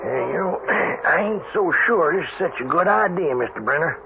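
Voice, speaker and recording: An actor playing a cocky, leering cowhand bully in an old radio western, with a creaky drawl and taunting folksy manner. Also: male voice, lively intonation, normal speed. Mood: wary, drawling. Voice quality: creaky, low. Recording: muffled, noisy old radio broadcast.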